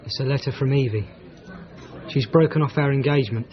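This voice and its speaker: An actor playing a proper, long-suffering gentleman's companion in a period radio drama, speaking in a stiff, clipped, formal way. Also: mid-range voice, fairly quick, some chatter behind, close to an everyday voice. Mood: hurt, bitter. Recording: dull, band-limited broadcast audio.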